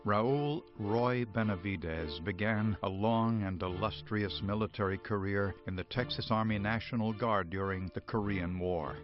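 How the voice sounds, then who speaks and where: A man's voice: lowish voice, moderate pace, hardly any pauses. A man with an American accent reading formal, scripted documentary narration. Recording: slightly dull audio under music.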